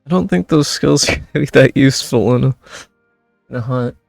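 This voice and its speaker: A gameplay streamer with a gravelly, husky voice, commenting casually and offhandedly into a close mic. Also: mid-range voice, brisk, darkish tone, no room sound, soft background music. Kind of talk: casual gameplay commentary, reading choices aloud. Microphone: close microphone over game audio.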